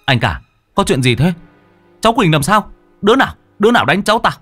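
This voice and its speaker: An angry middle-aged father acted by a male narrator in an audio story. He is growling and confrontational, fiercely protective, with pitch rising sharply and music underneath. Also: sing-song, fast talker, fairly bright tone, husky, heavily acted. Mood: alarmed anger. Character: angry middle-aged father and uncle defending their family.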